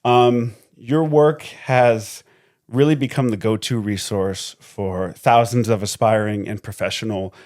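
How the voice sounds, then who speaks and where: A man's voice: fairly low pitch, fairly even intonation, unhurried, darkish tone. A man hosting an interview podcast, speaking hesitantly with many fillers and restarts. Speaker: podcast host.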